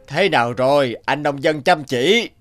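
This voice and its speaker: Pompous, scheming cartoon landlord. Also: male voice, a little high, fairly bright tone, soft background music. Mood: sly. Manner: pompous, taunting, drawn-out delivery. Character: fat, wealthy, scheming male landlord, mocking and greedy. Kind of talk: cartoon dialogue acting.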